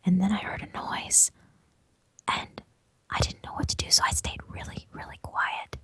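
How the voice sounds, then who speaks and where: A woman acting out a frightened woman hiding from an intruder in a horror story, speaking in a hushed whisper. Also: normal speed, dry recording, close to an everyday voice. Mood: terrified whisper.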